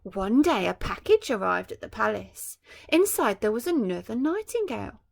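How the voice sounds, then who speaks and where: A woman teacher reading a storybook aloud to children, adding gentle, musing asides to her young listeners. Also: a little high, big pitch swings, normal speed, creaky. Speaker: primary school teacher.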